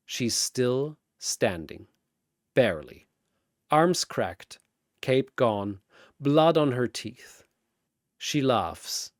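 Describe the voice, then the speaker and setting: Dramatic male narrator with sweeping, animated delivery. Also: medium pitch, no echo, studio quality. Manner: theatrical, pausing for emphasis. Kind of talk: dramatic scripted battle narration.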